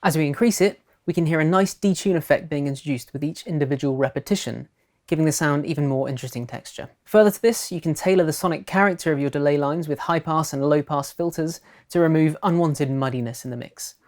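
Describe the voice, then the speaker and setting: A woman presenting a software tutorial in scripted narration. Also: low voice, fast talker.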